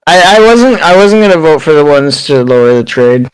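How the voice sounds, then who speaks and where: A man's voice: on the high side, expressive, normal speed, clear, resonant tone, poor audio. A man bantering casually in a party game with friends and reading out character lines.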